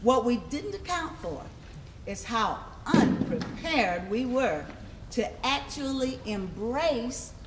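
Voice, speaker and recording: A woman performing as an earnest orator in a staged reading, speaking in a measured, emphatic way. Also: a little high, expressive, heavy background noise. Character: earnest female orator on equality and justice. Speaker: stage performer in readers theatre. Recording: echoey hall.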